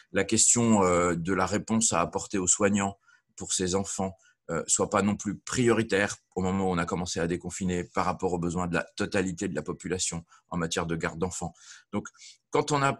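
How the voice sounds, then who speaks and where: Very low-voiced, hesitant man. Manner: hesitant, searching for words, often pausing with long euhs. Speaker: local elected official on a panel. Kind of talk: informal spoken presentation in a webinar.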